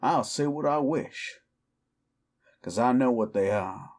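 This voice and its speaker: An actor playing a weary, defiant cowboy outlaw werewolf in an audio roleplay, with a folksy, brooding American Southern or Western drawl. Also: male voice, mid-range voice, takes it slowly, a little mellow, lightly acted. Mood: bitter, defiant. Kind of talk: slow dramatic roleplay monologue to the listener.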